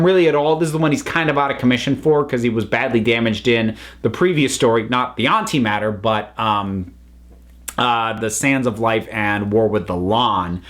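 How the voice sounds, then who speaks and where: A man's voice: medium pitch, normal speed, fairly bright tone. A man giving a casual, unscripted review in a chatty, enthusiastic way, often wandering into side remarks. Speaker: online reviewer.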